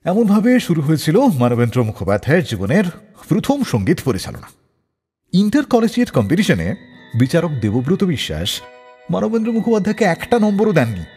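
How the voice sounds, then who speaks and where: Animated male radio storyteller, very lively pitch. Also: fairly quick, breathy edge, long reverb tail, soft background music. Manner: dramatic storyteller, acts out quoted dialogue. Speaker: radio show host. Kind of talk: scripted storytelling radio narration.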